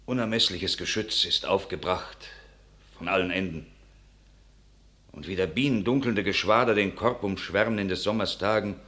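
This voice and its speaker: An earnest country man in a classic radio play, carrying grim news of war. His voice is very deep, and he tells his report with dramatic weight. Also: fairly bright tone.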